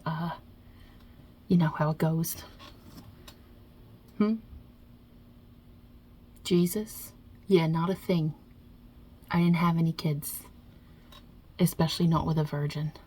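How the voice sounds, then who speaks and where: Woman playing a husky, low New Jersey mob-boss God. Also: fairly even intonation, heavily acted. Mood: blunt, opinionated. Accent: put-on Italian-American New York-New Jersey. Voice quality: husky, breathy, hushed. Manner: wry, offhand, blunt, hesitant pauses, swearing. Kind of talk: ASMR roleplay.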